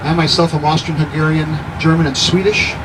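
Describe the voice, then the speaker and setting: A man's voice: fairly high pitch, flat delivery, a little fast. A man in his sixties giving a guided city tour to a group, chatty and jokey, often quizzing his listeners. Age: 60s. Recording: noisy outdoor recording with traffic.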